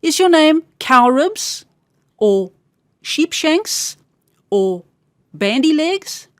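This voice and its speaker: Woman playing an anxious young queen, high-pitched. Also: sing-song, very slow, no echo, clean studio sound, exaggerated voice. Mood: questioning. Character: anxious young miller's daughter turned queen.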